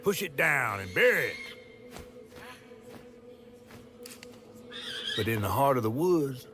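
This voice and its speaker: A man playing a folksy old talking catfish from the American South, who tells stories in a drawn-out, gravelly drawl. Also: medium pitch, big pitch swings, sharp tone. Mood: somber storytelling. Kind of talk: dramatic storybook narration and game dialogue. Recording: voice over music.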